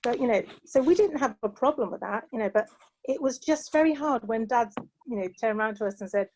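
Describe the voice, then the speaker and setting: British lady, middle-aged, kinda high voice, heartfelt, lots of "you know". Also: animated, fairly quick, warm tone, a little hiss or hum, poor audio. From England. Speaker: panel guest. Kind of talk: earnest personal reflection.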